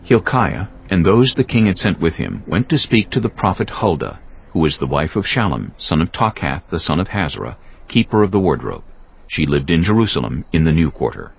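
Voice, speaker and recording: A man narrating dramatized scripture in a solemn, deliberate, scripted style. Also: low-pitched, very animated, gravelly. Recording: slightly dull audio with music bed.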